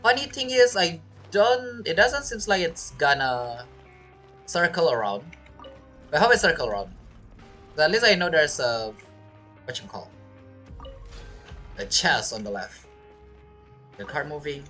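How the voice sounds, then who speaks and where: Animated, bright-voiced male game streamer. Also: a little high, unhurried, long pauses, soft background music. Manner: thinking aloud, reacting to the story. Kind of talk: casual gameplay commentary.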